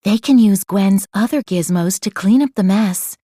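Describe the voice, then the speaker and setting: A woman narrating a children's storybook aloud in an expressive style. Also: mid-range voice, moderate pace, no room sound, clean studio sound.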